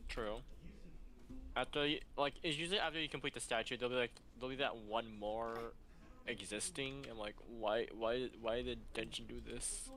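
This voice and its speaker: A man's voice: mid-range voice, normal speed, sharp tone. A man chatting casually as a companion on a video game stream. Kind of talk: casual gaming chat. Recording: faint background noise.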